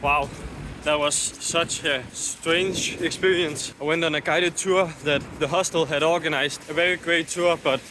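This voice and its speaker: A man's voice: a little high, steady tone, unhurried, background noise. Danish man narrating his solo trip. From Denmark. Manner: candid, self-deprecating. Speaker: travel vlogger. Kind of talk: voice-over narration. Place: hostel and city streets.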